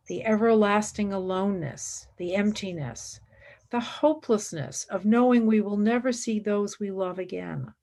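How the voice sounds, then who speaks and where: A woman's voice: mid-range voice, vocal fry, heavily acted. Woman acting an intense young intellectual, widely swinging intonation. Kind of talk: radio play acting.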